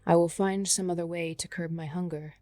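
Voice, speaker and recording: A woman performing a poem as a hungry, melancholy vampire, in a measured, solemn literary reading. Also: fairly low pitch, fairly even intonation, dark tone, close to an everyday voice. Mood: wistful hunger. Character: hungry, melancholy, patient vampire lurking in shadows. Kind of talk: dramatic poetry reading over music. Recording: music behind the voice. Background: music.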